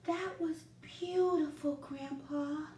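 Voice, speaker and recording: A woman voicing an eager, curious little boy in a read-aloud storybook, speaking high and excited. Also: slow. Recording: roomy, distant, faint background noise.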